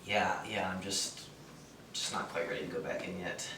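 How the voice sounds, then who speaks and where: A man acting a shy, awkward man full of self-doubt in a stage play. He stammers softly from some distance, in an echoey space with faint noise. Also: low voice, fairly even intonation, moderate pace. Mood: subdued. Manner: stammering, tentative, soft-spoken.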